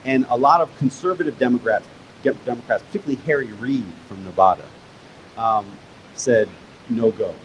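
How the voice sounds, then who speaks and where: A man, the guest author at a live onstage conversation, explaining history in a measured, step-by-step way that builds emphasis. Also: medium pitch, slight background noise, rough recording.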